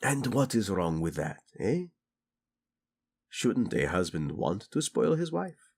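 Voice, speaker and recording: A man's voice: on the low side, very animated, slow, gravelly, a little mellow, slightly rough, full character voice. A man playing a flirtatious, doting prince and husband in a romantic audio roleplay, speaking intimately and expressively. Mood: teasing, flirtatious.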